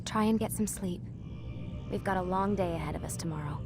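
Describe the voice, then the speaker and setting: A woman's voice: on the low side, a little fast, close to an everyday voice. An actress playing a caring, level-headed young female research scientist in a video game cutscene. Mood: apologetic, soft. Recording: music behind the voice.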